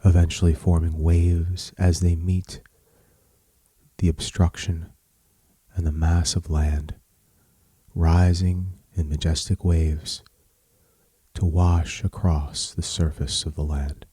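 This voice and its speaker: A man with a low, mellow voice guides a meditation at a very slow, measured pace. He speaks soothingly in short phrases, leaving space between them. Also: flat delivery, slightly rough, no echo.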